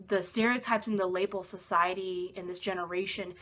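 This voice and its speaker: Bright-voiced woman speaking earnestly. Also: lowish voice, fairly even intonation, brisk, a little hiss or hum. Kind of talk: earnest declaration.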